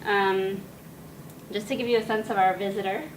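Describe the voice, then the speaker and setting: Soft-spoken woman lecturing from afar. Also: mid-range voice, steady tone. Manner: soft-spoken, frequent "um" pauses. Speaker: guest speaker. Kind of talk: academic talk to an audience. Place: lecture room. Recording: distant mic with noisy room.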